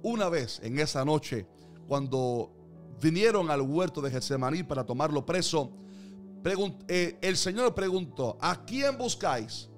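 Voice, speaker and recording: A man's voice: on the high side, very animated, measured pace, soft background music. A man preaching a Bible sermon in an earnest, declamatory way, building emphasis through repeated phrases.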